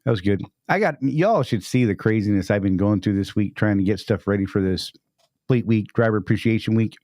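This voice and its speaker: A man's voice: fairly low pitch, fairly quick, a little mellow, no echo, clean studio sound. A man hosting a casual live stream, upbeat and greeting chat viewers by name.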